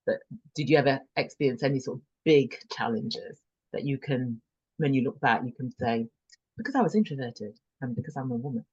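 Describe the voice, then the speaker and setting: A woman with a very low, husky voice asks gentle interview questions as a podcast host. The sound is muffled and lo-fi, recorded dry. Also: fairly quick, dry recording. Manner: gentle, affirming with short "mm-hmm".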